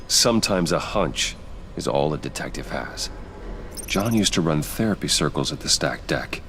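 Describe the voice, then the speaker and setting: An actor playing a stern, controlled masked vigilante detective in a video game, speaking in a grave, measured, terse way. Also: male voice, rumbling low, expressive, a bit bright, slight background noise, full character voice. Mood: focused. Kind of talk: dramatic video game acting.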